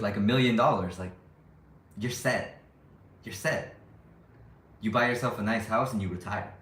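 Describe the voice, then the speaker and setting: A man's voice: on the low side, fairly bright tone, echoey room. A young man vlogging to his viewers in a casual, rambling way, often restarting his sentences and second-guessing himself. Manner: rambling, self-questioning, frequent restarts. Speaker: YouTuber living abroad. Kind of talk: casual vlog talk to viewers.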